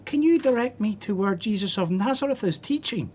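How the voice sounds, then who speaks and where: Polite, animated stranger. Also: female voice, mid-range voice, fairly quick, husky. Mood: polite, eager. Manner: courteous, dry. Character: polite, earnest stranger seeking a teacher. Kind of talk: radio drama acting.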